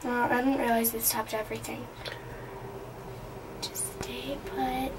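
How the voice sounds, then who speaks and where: A woman's voice: on the high side, level tone, measured pace. A teenage girl riding a mountain gondola, chatting nervously and telling herself to keep looking at the floor. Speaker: older kid on a family trip. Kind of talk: casual chat. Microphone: camcorder a few feet away. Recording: distant camcorder audio with wind noise.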